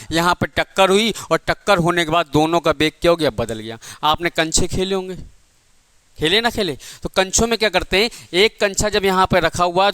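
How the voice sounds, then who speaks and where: A man's voice: high-pitched, pushed and bright, smooth, dry recording. A man teaching an exam-prep physics lesson, asking the class questions and answering them himself, calling his listeners "beta" and "bhai".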